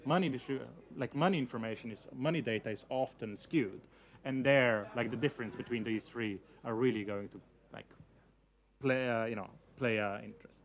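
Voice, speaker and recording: A man's voice: mid-range voice, normal speed. A young man whose first language is Slovenian gives a casual talk to an audience. He hedges a lot and fills his sentences with "you know, like.". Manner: hedging, many fillers like "you know, like". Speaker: speaker giving a talk.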